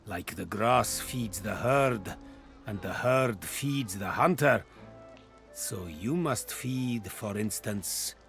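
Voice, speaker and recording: A man playing a proud, solemn mentor in a video game, speaking in measured, eloquent phrases. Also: lowish voice, lively intonation, a bit bright, soft background music, full character voice. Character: grave, proud male mentor teaching a dark lesson. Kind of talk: video game character acting.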